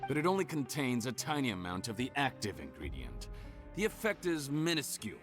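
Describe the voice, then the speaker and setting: An actor playing a smug, scheming criminal villain in a video game, theatrical and taunting, with a volatile temper. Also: male voice, medium pitch, wildly swooping pitch, brisk. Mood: smug, calculating. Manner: theatrical, taunting, swinging from sneers to outbursts. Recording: loud music behind voice.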